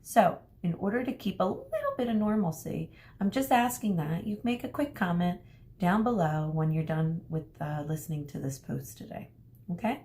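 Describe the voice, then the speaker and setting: A woman speaking as an elementary school teacher, reading a story aloud to children and pausing to explain things. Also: fairly low pitch, very animated, mellow. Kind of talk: read-aloud lesson for children.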